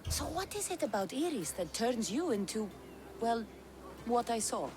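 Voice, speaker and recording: An actress playing a blunt, protective mercenary heroine in a video game, direct and dry in manner. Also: female voice, fairly high pitch, sing-song, normal speed. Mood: wry, friendly. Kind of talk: video game cutscene acting.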